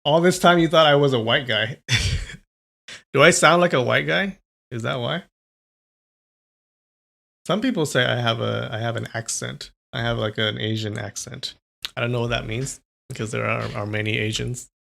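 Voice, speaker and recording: Young man, California-raised, casual storyteller, gravelly mid-range voice, chatty. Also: medium pitch, normal speed, pauses often, fairly bright tone. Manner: chatty, answers chat between passages. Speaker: host reading a novel aloud. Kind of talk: casual livestream reading and chat.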